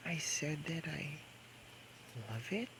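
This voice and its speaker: Man playing a slow, drunk, clingy boyfriend, noisy recording. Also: a little high, expressive, vocal fry, exaggerated voice. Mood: surprised, flustered. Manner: slurred, drawn-out, coaxing, halting drunken talk. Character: drunk, clingy, playful young boyfriend begging for kisses. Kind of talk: improvised intimate ASMR roleplay. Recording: noisy homemade microphone recording.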